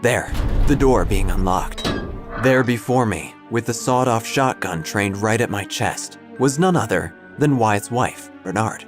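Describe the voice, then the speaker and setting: A man playing a wordy, inquisitive obituary writer, narrating a comic mystery in a precise, deadpan, theatrical way. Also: lowish voice, unhurried, over music. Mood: deadpan, suspenseful. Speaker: narrator and lead character.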